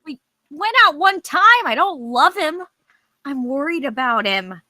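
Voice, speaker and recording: Hot-tempered, worried woman actress reading, high and forceful, confrontational, for screenplay drama. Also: big pitch swings, measured pace, no echo, heavily acted. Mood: defensive. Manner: confrontational, insistent. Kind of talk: screenplay table read acting.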